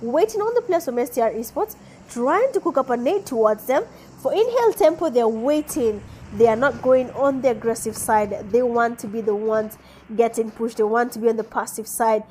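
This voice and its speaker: A woman's voice: a little high, animated, normal speed, mellow, a little hiss or hum, low-quality recording. A young Kenyan woman giving chatty live commentary on an esports match, laughing often and mixing in Swahili. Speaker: esports caster and streamer.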